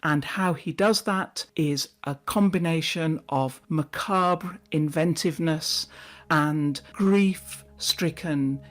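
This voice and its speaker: A woman giving a scripted scholarly introduction to a play, in measured, emphatic phrases. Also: low voice.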